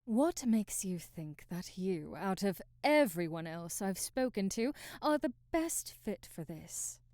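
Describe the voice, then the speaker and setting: A woman's voice: a little high, darkish tone, no room sound, clean studio sound. A woman playing an eccentric traveling magician, brash, teasing and flirtatious, in an intimate, theatrical ASMR roleplay. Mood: businesslike, stern. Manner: theatrical, teasing, drawling asides and murmurs.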